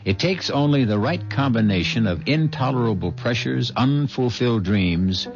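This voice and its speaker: A man narrating a mystery radio drama as host, ominous and deliberate in a theatrical scripted style. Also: mid-range voice, animated. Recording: dull vintage radio recording. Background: music.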